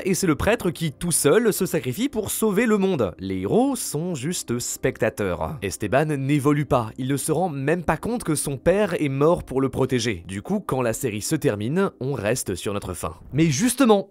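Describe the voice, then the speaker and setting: A man narrating a scripted video essay as a dramatic storyteller, slipping in informal asides. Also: a little high. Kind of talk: scripted documentary-style storytelling.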